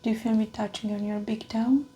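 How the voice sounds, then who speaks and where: A woman whispering softly in an ASMR medical exam roleplay, calmly talking through each step of the check. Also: fairly high pitch, fairly quick.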